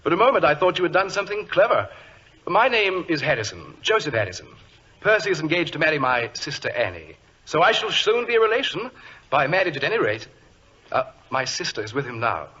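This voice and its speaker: An affable, fussy British gentleman, chatty and easily flustered. His husky voice is fairly high and very animated. It is heard on a muffled old 1940s radio recording. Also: a little fast, exaggerated voice. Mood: amused, chatty. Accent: British English. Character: affable, chatty, fussy English gentleman, future brother-in-law.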